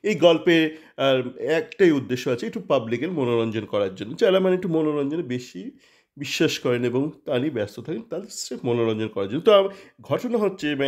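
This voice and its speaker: Fast-talking male storyteller, improvising. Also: medium pitch, a little mellow. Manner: halting, repetitive phrasing with frequent self-corrections. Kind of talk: informal, improvised storytelling and commentary.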